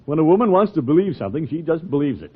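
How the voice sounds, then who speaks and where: An actor playing a vain, easily flustered middle-aged uncle in old radio comedy, with a blustery manner. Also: male voice, on the high side, very animated, racing, breathy edge. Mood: sheepish. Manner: blustery, hesitant when cornered, chuckling. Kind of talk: 1940s radio comedy acting. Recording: very muffled old radio recording.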